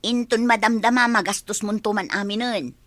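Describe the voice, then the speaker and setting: A woman's voice: medium pitch, normal speed, a bit bright, no room sound, natural voice. An actress with a Filipino accent playing a thrifty, frustrated young working woman who complains emphatically in a radio drama. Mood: nagging. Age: young adult.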